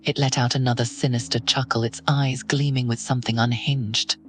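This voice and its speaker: Man narrating creepy horror stuff to you, steady and ominous, music in the back. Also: mid-range voice, fairly quick. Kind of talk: second-person horror narration.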